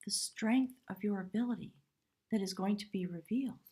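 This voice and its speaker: A woman with a hoarse voice giving a live spiritual tarot reading in measured, reverent short phrases. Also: mid-range voice, warm tone. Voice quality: husky, breathy. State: hoarse from much talking. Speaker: tarot reader, live stream host.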